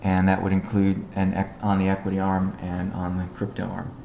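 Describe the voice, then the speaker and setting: A man giving a business briefing on a conference call as a company executive, in a measured, halting way with technical jargon. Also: deep voice, completely flat delivery, creaky, mellow. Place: conference call, echoey room. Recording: echoey room, faint background noise.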